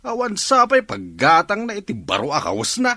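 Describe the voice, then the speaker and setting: A man with an Ilocano accent playing a gruff, disapproving middle-aged father in a radio drama. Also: high-pitched, huge pitch swings, moderate pace, sharp tone, no room sound, heavily acted. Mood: scornful.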